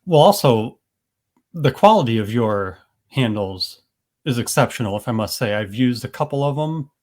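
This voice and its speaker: A man's voice: mid-range voice, animated, normal speed, a little mellow. A man hosting a podcast interview, chatting in a friendly, rambling way with restarts. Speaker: podcast host. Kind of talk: casual interview chat.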